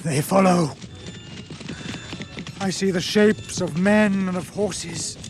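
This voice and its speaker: An eerie, awestruck seer, intoning very slowly and intensely. Music and hoofbeats run prominently behind this radio drama voice. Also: male voice, high voice. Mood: eerie awe. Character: awestruck male seer describing ghostly riders. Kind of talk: BBC radio drama acting.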